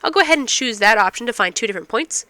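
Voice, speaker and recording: A woman's voice: on the high side, animated, very fast, pushed and bright, no echo. A woman instructor explaining math step by step, asking and answering her own questions, while getting over a cold. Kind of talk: recorded math lecture.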